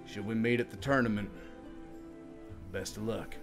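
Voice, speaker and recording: A man's voice: on the low side, normal speed, soft background music, natural voice. A man voicing a laid-back, folksy, world-wise adventurer in a tabletop game. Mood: gruff farewell. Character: laid-back male departing adventurer in hat and duster.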